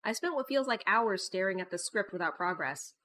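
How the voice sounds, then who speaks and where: A woman reading a visual novel aloud with casual, playful, wry asides, in an expressive manner. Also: mid-range voice, fairly quick, slight background noise. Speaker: gaming video host. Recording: background music behind the voice. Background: music.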